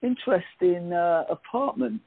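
A man's voice: high-pitched, unhurried, creaky, husky, dry recording, exaggerated voice. A man playing a nervous young bachelor, stammering and sarcastic, in a comic radio play with tinny, phone-like sound. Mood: nervous, awkward. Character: nervous young bachelor, exasperated, alarmed by his date.

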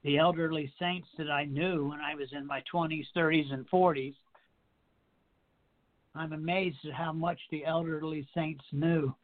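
High-voiced man in his sixties preaching over a tinny phone line. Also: steady tone, moderate pace. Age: 60s. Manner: repetitive, emphatic preaching with rhetorical questions. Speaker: pastor. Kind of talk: radio sermon.

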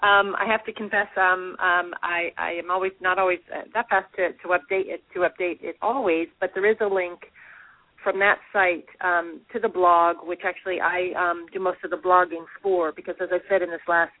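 A woman guest being interviewed, answering earnestly and quickly with frequent fillers. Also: fairly low pitch, little pitch movement, dry recording. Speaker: author guest. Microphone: phone. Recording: tinny phone line.

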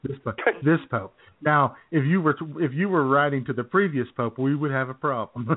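A man co-hosting a casual talk show, his voice sitting at an ordinary pitch for a man. He rambles easily along and trails off mid-thought. The sound is narrow and phone-like. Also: normal speed. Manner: rambling and easygoing, with many fillers and restarts. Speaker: co-host.

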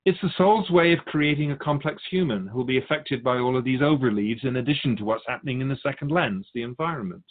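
A man playing a patient, lofty spirit guide who teaches in measured, formal phrases in a scripted audio drama. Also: medium pitch, narrow, tinny audio, lightly acted. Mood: patient, explanatory. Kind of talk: scripted dialogue in a fiction podcast.

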